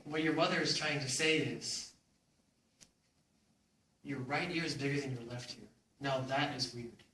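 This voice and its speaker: A man's voice: mid-range voice, unhurried, sharp tone. A man playing a clueless, self-absorbed father in a comic readers theater piece. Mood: deadpan. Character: goofy, clueless, self-absorbed suburban dad. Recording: very echoey room, distant mic, faint noise.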